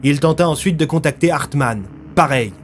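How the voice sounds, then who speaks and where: A man's voice: fairly high pitch, normal speed, slight background noise. A man narrating a scripted dramatic story in a grave, theatrical way. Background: music.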